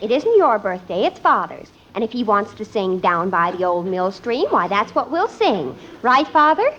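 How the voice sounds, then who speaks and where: A woman's voice: medium pitch, very animated, moderate pace, fairly bright tone, highs cut off, a little hiss or hum. A woman acting a bubbly, scheming teenage daughter in an old-time radio family comedy, with an over-innocent, coaxing manner. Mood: coaxing, bossy. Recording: old radio broadcast, music and audience.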